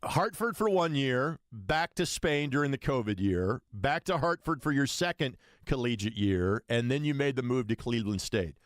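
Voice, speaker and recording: A man's voice: medium pitch, lively intonation, moderate pace, few pauses, dry recording, studio quality. A man hosting a sports radio show, interviewing a guest in a conversational way.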